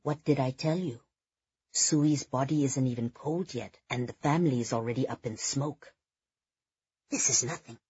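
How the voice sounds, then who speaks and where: A woman voicing a catty, gossipy society lady in an audiobook, speaking in a hushed, conspiratorial way. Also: extremely low pitch, animated, moderate pace, breathy edge, lo-fi sound, heavily acted. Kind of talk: audiobook character dialogue.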